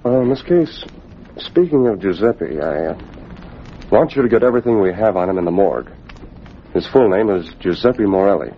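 An actor playing an earnest, assured young newspaper publisher in old-time radio drama. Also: male voice, lowish voice, animated, normal speed. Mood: serious. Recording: dull old radio recording, faint noise.

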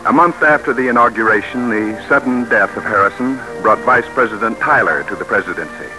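An actor as the solemn narrator of a historical radio drama, formal and dramatic in an old-time style. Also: male voice, on the low side, fairly quick, natural voice, rough recording. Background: music.

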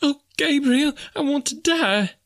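A man's voice: very high-pitched, takes it slowly, voice pushed up, exaggerated voice. A man voicing a hysterical, terrified maidservant who wails and exclaims in folksy dialect in an audiobook. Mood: terrified wailing.

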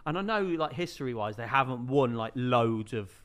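A man's voice: medium pitch, expressive, moderate pace, a crowd in the background. A man co-hosting a podcast in casual chat, chiming in with leading remarks and tag questions. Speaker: podcast co-host.

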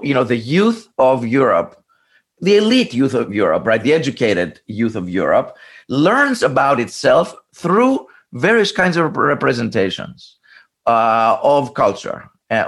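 A male scholar speaks in a documentary interview, thinking aloud as he explains. His pitch rises and falls in a lively way, and he often restarts a phrase with small fillers. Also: husky. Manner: thoughtful, halting, many restarts and fillers.

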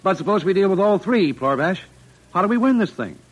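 Brash, husky-voiced lead engineer. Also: male voice, high-pitched, expressive, dull audio. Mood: brisk. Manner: brisk, take-charge. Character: brash, confident male lead engineer, pep-talking like a coach. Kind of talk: old-time radio drama acting. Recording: vintage radio drama recording.

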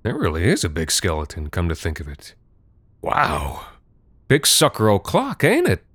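A joking, crude middle-aged dad with a folksy drawl, put on by a man reading a story. His voice rolls up and down with lively swings, and it sounds close and dry. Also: low voice, moderate pace, no room sound, exaggerated voice. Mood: awed.

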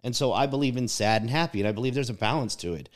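A man with a mid-range voice, neither high nor low, talks through his views in a casual podcast chat. He is reflective and emphatic, often using "like" and tagging his points with "right?". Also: very fast.

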